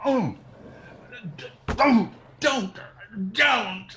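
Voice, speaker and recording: Man acting a high-pitched cowardly radio villain. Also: very slow, background noise, heavily acted. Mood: panicked, pleading. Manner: stammering when scared, drawling taunts. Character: cowardly sneering kidnapper, oily bully turned sniveling. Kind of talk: radio mystery play acting.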